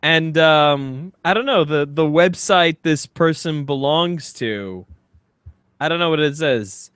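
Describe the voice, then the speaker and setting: A man's voice: fairly high pitch, slow, a bit bright, clean tone, dry recording, studio quality. A man co-hosting a podcast in casual comedic banter, speaking in a somewhat hesitant, stammering way. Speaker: podcast co-host.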